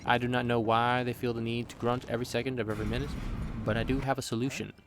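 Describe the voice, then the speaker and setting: A man's voice: mid-range voice, flat delivery, fast talker, noisy background. A man narrating a scripted video game review with playful, joking asides. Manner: playful, jokey. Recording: background music under voice. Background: music.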